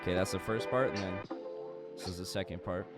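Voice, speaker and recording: A man's voice: low voice, fairly even intonation, a little fast. A young man, a music producer, talking casually with a congested, slightly nasal voice, rambling with many fillers and restarts. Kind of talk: casual studio walkthrough.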